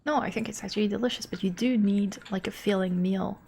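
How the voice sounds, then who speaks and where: Creaky-voiced woman chatting on stream. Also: mid-range voice, fairly quick, a little mellow, slight background noise. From Europe. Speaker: game streamer. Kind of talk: casual live stream chat and commentary. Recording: game music behind the voice. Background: game music.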